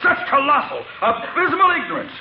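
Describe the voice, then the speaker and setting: An actor playing a blustering, easily exasperated mayor in a pompous, sputtering manner, in 1940s radio comedy style. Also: male voice, very high-pitched, wildly swooping pitch, a little fast, heavily acted. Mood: exasperated, indignant. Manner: pompous, sputtering, elaborate wording. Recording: muffled, very noisy, echoey old radio recording.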